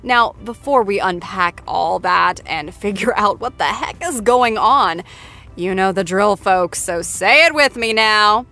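Bright, animated woman hosting a show. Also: mid-range voice, unhurried, soft background music. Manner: excitable, folksy. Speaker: podcast after-show host.

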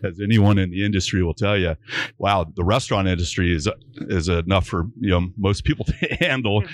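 Man, middle-aged, very deep voice, folksy, rambling, filler words, dry close mic, brewery setting. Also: a little fast, no echo. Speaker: brewery owner. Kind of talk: interview answers. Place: brewery floor. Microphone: studio microphone on arm.

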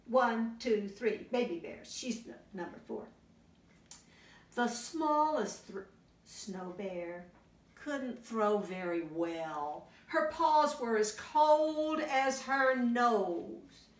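An older woman, around her sixties, reads a children's picture book aloud expressively, pausing to put questions to young listeners. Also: fairly high pitch, lively intonation. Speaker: library story time reader. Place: library children's room, indoors. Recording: roomy, distant camera sound.

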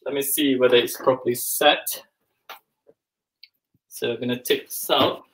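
A man's voice: medium pitch, steady tone, measured pace, poor audio. A man in his forties hosting a live cooking demo from his home kitchen, chatting as he works and thinking aloud with lots of fillers. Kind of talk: casual live cooking demonstration. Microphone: video call camera, moving around the kitchen.

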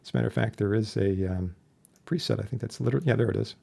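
A man instructing in an informal software tutorial, chatty and plain-spoken with a wry streak. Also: fairly low pitch, very fast, vocal fry. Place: indoors, voice-over for a screen recording.

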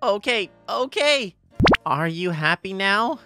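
A man's voice: sits high, big pitch swings, takes it slowly, pushed and bright, soft background music, exaggerated voice. A man playing an easygoing teenage boy narrating a romantic comedy manga story, explanatory and fondly exasperated. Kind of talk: scripted manga narration and dialogue.

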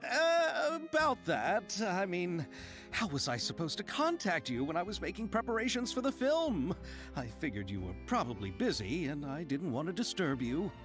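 A fairly high, animated male producer in a game, fumbling politely over his excuses. Music plays clearly behind him. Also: normal speed, full character voice. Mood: flustered. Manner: hesitant, apologetic, jovial. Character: eager male film producer, flustered and courteous.

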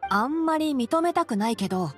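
A woman voicing a clever young male scholar in an anime game, measured and gently dry. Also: high-pitched, lively intonation, faint music behind. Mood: dry, teasing. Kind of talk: Japanese anime game voice acting.

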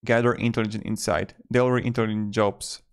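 A man's voice: lowish voice, little pitch movement, fast talker, clear, resonant tone. A man in his twenties narrating a casual review as a video host, with loosely worded phrasing, speaking close to a desktop microphone in a room. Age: 20s. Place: small indoor room. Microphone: desktop microphone close in front of him.

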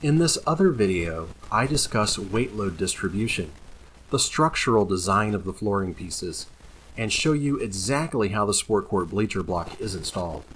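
A middle-aged man explaining a job in a friendly, steady, instructive way, partly on camera in a large indoor gym and partly in voice-over. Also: on the low side, normal speed, a little hiss or hum, poor audio. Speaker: flooring company owner.

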